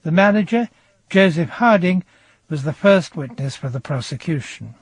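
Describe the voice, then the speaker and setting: An actor playing an English prosecution barrister, husky and formal, setting out a case deliberately in a radio drama. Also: male voice, on the high side, lively intonation, no echo. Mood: grave, accusing. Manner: formal, deliberate courtroom delivery. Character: measured male prosecution barrister laying out a murder case. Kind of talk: radio crime drama acting.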